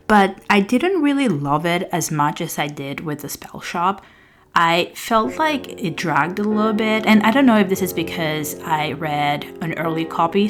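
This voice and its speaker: A woman whose first language is Albanian, talking casually through book reviews with some fillers and restarts, partly reading out summaries. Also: lowish voice. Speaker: book vlogger.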